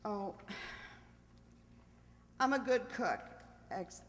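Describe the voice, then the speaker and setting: A woman's voice: mid-range voice, animated, drawn-out words, slight background noise. A woman playing a cheerful, self-assured married mind reader as a matter-of-fact contestant on an old-time radio quiz. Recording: long hall reverb.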